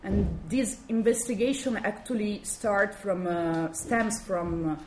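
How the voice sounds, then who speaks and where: Slow, hesitant Italian-accented woman. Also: medium pitch, a little mellow. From Italy. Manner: halting, searching for words with many fillers. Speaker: guest lecturer. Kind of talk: academic talk. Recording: echoey room, faint background noise.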